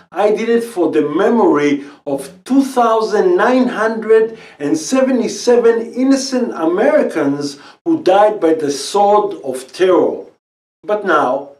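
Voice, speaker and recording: A man in his fifties from Israel, a native Hebrew speaker, giving an earnest scripted pitch about quitting smoking in short phrases. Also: darkish tone, roomy sound, far from the mic. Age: 50s. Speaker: natural healing expert and quit-smoking coach.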